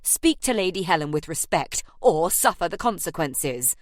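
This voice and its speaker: A woman voicing a stern, protective young nobleman who speaks in low, clipped, snarling tones. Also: big pitch swings, normal speed, no room sound, clean studio sound. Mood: threatening.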